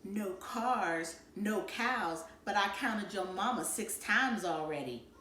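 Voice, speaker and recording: A woman's voice: medium pitch, animated, slow pace, bright, forceful tone, slight background noise. Woman playing a cocky, slangy teenage boy in an echoey room. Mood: menacing, hushed. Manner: slangy, swaggering street talk. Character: cocky defiant scheming teenage boy, big brother bully.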